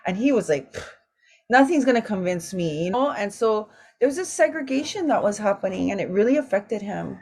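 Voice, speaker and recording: Woman in her forties, roundtable host, heartfelt storyteller, everyday mid pitch. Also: breathy edge. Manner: warm, emotional storytelling with Hawaiian words mixed in. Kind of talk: casual talk story.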